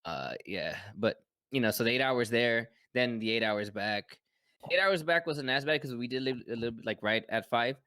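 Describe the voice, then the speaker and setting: A man's voice: medium pitch, animated, moderate pace, bright, forceful tone, no echo. A man co-hosting a fan podcast, chatting casually, rambling and swearing as he jokes. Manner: rambling, profane, joking. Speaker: podcast co-host. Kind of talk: casual chat.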